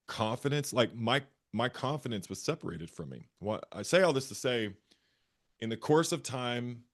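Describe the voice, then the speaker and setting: A man with a bass voice, a therapist and guest, earnestly telling his own story and often repeating phrases as he searches for words. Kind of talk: candid personal storytelling.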